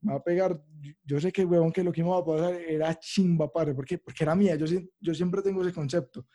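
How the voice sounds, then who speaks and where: A man with a Colombian Spanish accent, a podcast co-host in a casual chat over a video call, slangy and excitable, swearing freely. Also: on the high side, quick pace.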